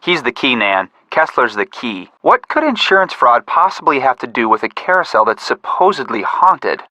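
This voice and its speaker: A man's voice: medium pitch, sing-song, normal speed, bright, forceful tone, no echo. A man playing a sensible, cautious young detective in a video game, with a level-headed, precise delivery. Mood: serious. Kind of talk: scripted game voice acting.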